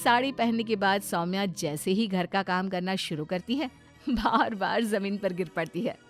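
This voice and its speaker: A woman reading scripted narration for an animated story. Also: medium pitch, moderate pace, faint music behind.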